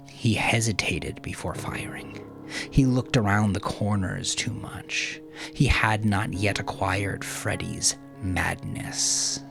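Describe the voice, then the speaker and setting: Low-voiced man narrating dark fiction over music. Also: gravelly. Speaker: audiobook narrator. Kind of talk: dramatic scripted fiction narration.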